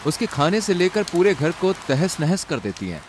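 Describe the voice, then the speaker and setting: A man's voice: on the high side, big pitch swings, normal speed. A man narrating a cartoon story in a dramatic scripted style. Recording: rain and outdoor noise behind.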